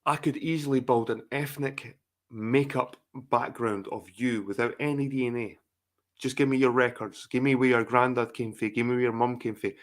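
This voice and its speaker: A man's voice: mid-range voice, fairly even intonation, moderate pace. A middle-aged man from England, hosting a live chat stream in a profane, rambling, repetitive rant. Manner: profane, rambling, repeats himself. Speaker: livestream host. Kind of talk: casual ranting livestream chat.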